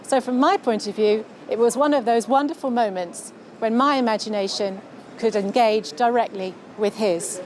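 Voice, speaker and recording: A woman author giving a measured, articulate scripted presentation about her own book, speaking outdoors on city streets. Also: a little high, darkish tone, a little hiss or hum. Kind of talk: scripted promotional narration to camera.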